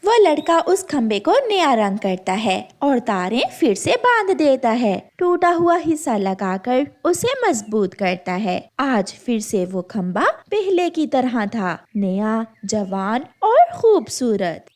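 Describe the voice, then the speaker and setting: High-pitched, slow-paced woman storyteller. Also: big pitch swings, a little mellow. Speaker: story narrator. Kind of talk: scripted narration of a children's cartoon story.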